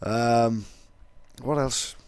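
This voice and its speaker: A young Welsh-accented man explains casually, rambling and swearing, with plenty of fillers. His voice sits at a middling pitch. The recording is dry and close, with no room echo. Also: steady tone, very slow, darkish tone, clean tone. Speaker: vlogger.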